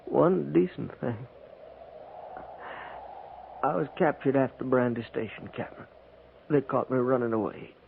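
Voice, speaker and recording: An actor playing a dying cavalry trooper and deserter seeking redemption, with folksy frontier speech, in 1950s radio western style. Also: male voice, medium pitch, measured pace, gravelly, long pauses. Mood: weary, confessional. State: weak, dying. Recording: noisy, narrow-band 1950s radio recording.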